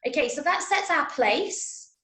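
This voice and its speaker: Workshop teacher: English woman, fairly high voice, encouraging, interactive online lesson delivery, roomy echoing sound. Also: lively intonation, measured pace, bright, forceful tone. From Manchester, England. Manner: encouraging, praising students' answers. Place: indoors, on a video call.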